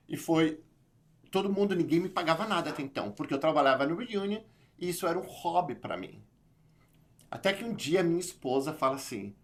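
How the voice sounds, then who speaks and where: A man from Brazil with a São Paulo accent, a guest in an interview, telling his story in an animated, casual way with frequent restarts. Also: mid-range voice. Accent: São Paulo Brazilian Portuguese. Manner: animated, talks in quick bursts with many restarts. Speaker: interview guest, YouTube channel creator.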